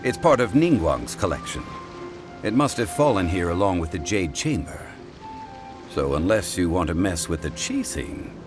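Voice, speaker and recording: A man voicing a calm, learned male scholar who speaks in a composed, measured way. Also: deep voice. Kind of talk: game voice acting. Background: game music.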